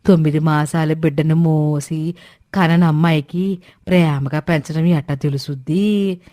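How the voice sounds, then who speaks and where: A woman's voice: deep voice, fairly even intonation, unhurried, dark tone, no room sound, studio quality. A woman acting a meddling, judgmental village gossip in a moral story. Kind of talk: acted moral-story dialogue.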